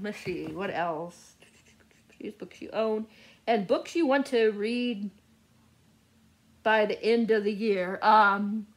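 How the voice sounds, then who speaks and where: A woman's voice: mid-range voice, fairly bright tone. A woman chatting casually to viewers as a book vlogger, gushing and often repeating words for emphasis.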